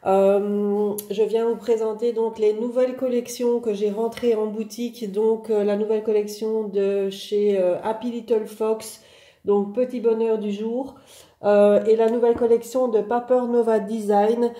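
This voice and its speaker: Woman with a head cold, very dark mellow voice, chatty craft-shop product showcase, roomy echo. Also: on the high side, flat delivery, unhurried. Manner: chatty, many "euh" and "donc" fillers. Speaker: online craft shop owner presenting products.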